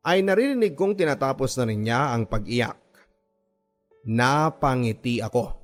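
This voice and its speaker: A male actor plays a gentle twenty-something working man recounting his life for a radio drama. His medium-pitched voice is earnest and sentimental, moving in measured phrases with dramatic pauses. Soft music sits underneath the narration. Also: lightly acted. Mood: tender, wistful. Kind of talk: first-person dramatic radio storytelling.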